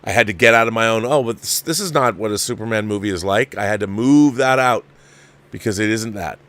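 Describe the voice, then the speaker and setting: A man's voice: fairly low pitch, a little fast, a bit bright. A man hosting a live movie review show, talking casually and emphatically in a rambling way, repeating phrases to press his point. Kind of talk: casual livestream film commentary, reading viewer messages.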